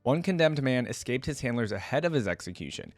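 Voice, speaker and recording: A man's voice: mid-range voice, big pitch swings, quick pace, no room sound, faint music behind. A man doing casual reaction commentary, reading text aloud and adding his own remarks. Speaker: host of a gaming reaction channel.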